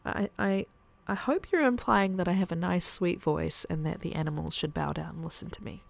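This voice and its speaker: A woman streaming a survival game, thinking aloud in a chatty way with soft muttered asides. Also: lowish voice, brisk, vocal fry. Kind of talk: casual gameplay commentary.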